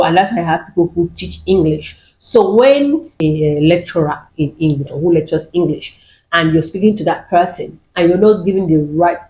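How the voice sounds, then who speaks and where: A woman's voice: lowish voice, warm tone. A woman speaking as a guest on a panel discussion, explaining her view firmly. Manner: firm, explanatory. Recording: echoey room.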